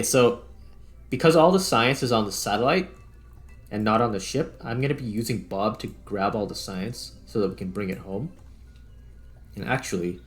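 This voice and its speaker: A man doing casual let's-play commentary, thinking aloud informally as he works through a game. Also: fairly low pitch. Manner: informal, muttering to himself while working. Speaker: gaming video host, player. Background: background music.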